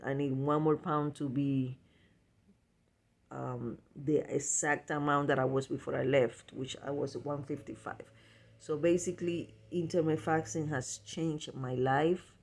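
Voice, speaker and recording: Male vlogger, voice a touch high, informal and halting, often doubling back on his words. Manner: halting, repetitive, self-correcting delivery. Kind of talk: casual personal vlog talk.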